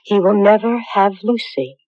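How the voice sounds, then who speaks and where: A woman's voice: medium pitch, moderate pace, darkish tone, dry recording, lightly acted. An actress playing a spurned, defiant young witch with a terse, cold delivery in a radio drama. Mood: cold defiance. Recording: dull, dry vintage radio recording.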